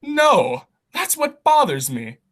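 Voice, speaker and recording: A man's voice: very high voice, wildly swooping pitch, slow pace, exaggerated voice. Noisy-sounding eccentric cave hermit, acted by a man. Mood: giddy, fussy. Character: eccentric people-hating cave hermit posing as a lion. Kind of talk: comedy radio play acting. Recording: very noisy home recording with clicks.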